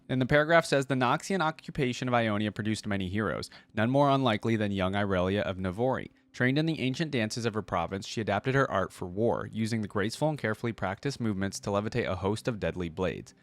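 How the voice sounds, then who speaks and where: A man hosting a casual reaction video, reading text aloud and thinking out loud in a conversational way. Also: mid-range voice, no echo. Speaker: host of a gaming lore reaction channel.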